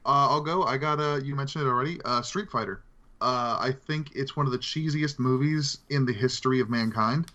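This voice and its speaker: Bright-voiced man in casual podcast chat, slightly muffled. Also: medium pitch, a little fast. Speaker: podcast co-host. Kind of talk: casual chat, film opinions.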